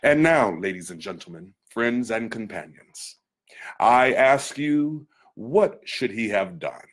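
A man giving a dramatic literary reading in a theatrical, deliberate manner with weighty pauses. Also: mid-range voice, animated.

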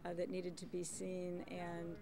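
An older woman, a volunteer doctor, answering interview questions in a calm, earnest way. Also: fairly low pitch, unhurried, creaky, a crowd in the background.

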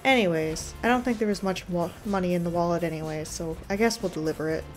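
A woman's voice: on the low side, fast, soft background music. Wry woman narrating her gameplay. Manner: wry, dry, thinking aloud. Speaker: gaming video creator. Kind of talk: casual gameplay commentary.

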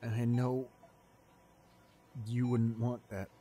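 A man performs a guilt-stricken young boyfriend in an intimate audio drama. He speaks slowly at a medium pitch, tender and distraught, breaking his phrases with hesitations and sighs. There is clear noise behind the voice. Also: little pitch movement, mellow, close to an everyday voice. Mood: bitter, protective. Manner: halting, broken phrases, sighing. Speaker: voice actor in an audio roleplay.

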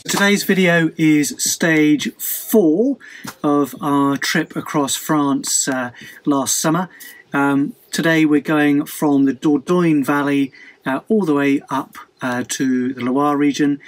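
Man, middle-aged, slightly high-pitched, vlog host, inside a campervan, camera on the dashboard, relaxed, hesitant fillers. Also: takes it slowly. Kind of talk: casual to-camera intro. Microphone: camera facing him from the dashboard.

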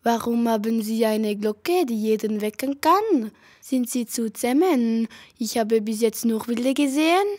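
A woman's voice: a little high, animated, a little slow, darkish tone. A woman acting a curious, stubborn young foreign newcomer in an amateur radio play. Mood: curious, earnest.

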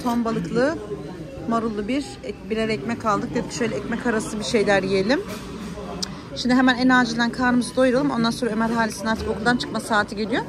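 A woman in her thirties chatting casually and colloquially as she narrates her everyday vlog. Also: on the high side. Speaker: vlogger. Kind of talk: casual vlog narration while shopping and cooking.

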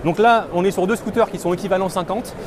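A man's voice: steady tone, crowd noise. A man explaining products in a casual, salesman-like way at an indoor exhibition stand. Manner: fast, pitchy salesman-like. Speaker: guest presenting products. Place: large indoor exhibition hall. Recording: noisy exhibition hall.

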